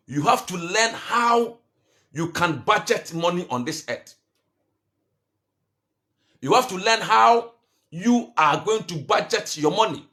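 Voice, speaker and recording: A man giving a motivational finance talk, full of restarts and rhetorical questions, and growing louder and higher when he urges his listeners. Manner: rises in pitch and force when urging. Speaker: finance coach.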